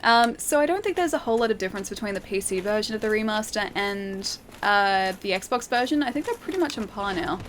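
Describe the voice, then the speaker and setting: A woman's voice: mid-range voice, expressive, brisk, few pauses, a little hiss or hum. An Australian woman streaming a game, chatting casually and reading her chat aloud, laughing often and thinking aloud with plenty of fillers.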